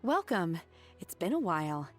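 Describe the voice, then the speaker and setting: Reserved anime game waitress, stoic n blunt, lower voice, soft music under. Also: female voice, big pitch swings, moderate pace. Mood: businesslike. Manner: reserved, matter-of-fact, polite. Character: stoic young woman disciple working as waitress, blunt.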